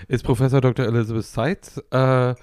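A man's voice: mid-range voice, flat delivery, fairly quick, a little mellow, no echo, clean studio sound. Earnest man in casual chat.

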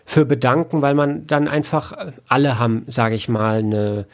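A man talking casually as an interview guest, explaining his work in a reflective, halting way with repeated words. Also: mid-range voice, steady tone. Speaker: podcast guest, audiobook editor and audio drama producer.

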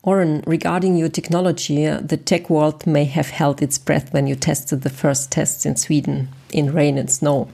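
A woman interviewer with a very deep, gravelly voice asks prepared questions. Her tone is mellow and dark, and she keeps a measured, formal delivery. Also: flat delivery.

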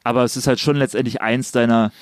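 Guy interviewing, quick talker, rambly questions, dry close mic. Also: mid-range voice, fairly even intonation, dry recording. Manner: long wandering questions, many "letztendlich" and fillers. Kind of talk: casual interview.

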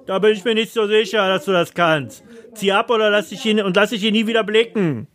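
A man's voice: high voice, brisk, a bit bright, voice pushed up. Man playing a menacing, foul-mouthed circus thug. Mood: gruff threat. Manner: threatening, vulgar, commanding. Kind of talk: audio drama acting.

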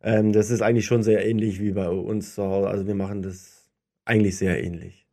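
A man's voice: fairly low pitch, little pitch movement, a little fast, vocal fry, mellow. A young man from southern Germany with a light Berlin accent, chatting casually in a colloquial, self-deprecating way as an interview guest. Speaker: guest, professional ice hockey player. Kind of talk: casual chat.

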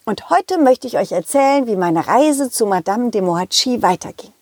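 A cheerful, loyal male dog from a children's audio play, as a woman puts him on, chatting straight to young listeners with giggles. His voice sits fairly high and swings about in a bouncy, lively melody. The sound is close and dry. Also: moderate pace, husky, no echo. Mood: friendly. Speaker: storyteller.

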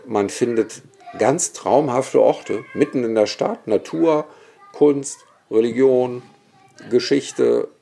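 A man's voice: mid-range voice, slow pace, vocal fry, mellow, a little hiss or hum. A man, a historian and guest, telling stories in a vivid, opinionated way with many asides. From Germany, Bergisch Gladbach area. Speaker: guest, historian and cemetery expert. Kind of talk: relaxed storytelling in conversation.

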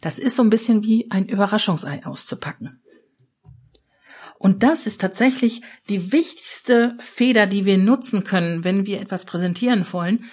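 A middle-aged German woman hosting a solo podcast, explaining ideas in a lively coaching manner and speaking straight to the listener. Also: medium pitch, moderate pace, slightly rough. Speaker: podcast host and change consultant.

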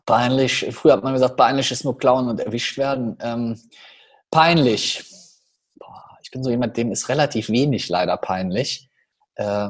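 A man with a Cologne accent, a podcast guest who tells stories animatedly with quick asides. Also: medium pitch. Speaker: podcast guest, public speaker. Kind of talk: casual podcast conversation.

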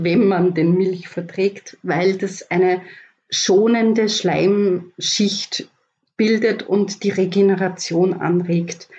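An Austrian woman voice coach explains remedies calmly and practically. Her delivery is slow and her tone is dark and mellow. The audio sounds a little dull and lo-fi. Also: mid-range voice. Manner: calm, explanatory, gives practical tips. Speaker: voice coach guest. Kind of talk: podcast interview.

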